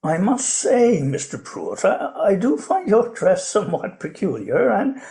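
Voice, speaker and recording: Actor as a high-pitched, fussy old colonial gentleman. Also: huge pitch swings, a little slow, creaky, exaggerated voice. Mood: fussy, polite. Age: older. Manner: hesitant, rambling, pompous and courteous. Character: elderly, genteel, fussy colonial plantation-owner father. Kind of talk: radio play acting.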